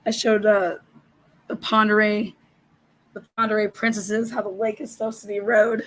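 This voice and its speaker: A middle-aged woman hosting a casual livestream, hoarse and raspy, reading viewer comments and ranting bluntly with lots of swearing. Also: medium pitch, flat delivery, normal speed, rough recording. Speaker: livestream host.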